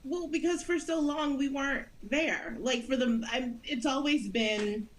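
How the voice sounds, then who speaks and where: Woman in her 30s, american, chatty and animated, laughs a lot, a little background hiss. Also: sits high, a little slow. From United States. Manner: animated, laughs often, earnest. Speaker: guest actress. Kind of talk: interview conversation.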